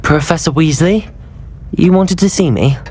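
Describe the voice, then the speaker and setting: An actor playing a kind, steady teenage student wizard in a video game, speaking gently and sincerely. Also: male voice, fairly high pitch, a little slow, slightly rough. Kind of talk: dramatic video game acting. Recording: music behind the voice.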